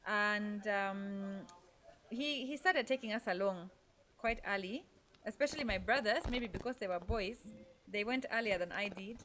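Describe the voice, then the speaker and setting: A woman from Norway talks through her story as a podcast guest, pausing on fillers and restarting her sentences as she thinks. Her voice sits at a middle pitch. A faint ambient noise runs underneath. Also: a bit bright. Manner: thoughtful, with frequent fillers and restarts. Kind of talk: conversational interview storytelling.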